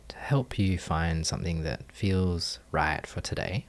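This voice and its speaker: A man leading a guided meditation, giving gentle, calm instructions in measured phrases with long pauses. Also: sits low. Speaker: meditation teacher.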